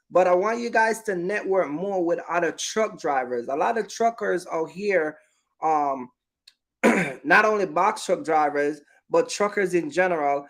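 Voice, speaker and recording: A man with a high-pitched voice speaks straight to his viewers in an informal advice monologue. He is emphatic and repeats his points, regularly checking that listeners understand him. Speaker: trucking business YouTuber giving advice.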